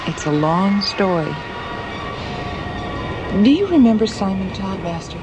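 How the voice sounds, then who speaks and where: An actress playing a composed, determined space station leader in a science fiction radio drama. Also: female voice, medium pitch, sing-song, a little slow, background noise. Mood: serious, concerned.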